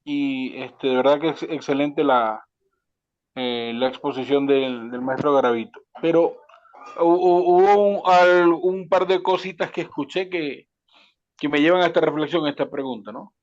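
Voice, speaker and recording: A man's voice: on the high side, moderate pace. A man with a Venezuelan accent asking a question in an online webinar, hesitant and often restarting his words, over dull call audio. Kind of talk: spontaneous question to a speaker.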